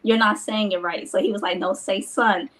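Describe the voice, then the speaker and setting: A woman's voice: mid-range voice, fairly even intonation, normal speed, a bit bright, a little hiss or hum. A woman sharing a personal story with a group, hesitant, with many "like" and "um" fillers.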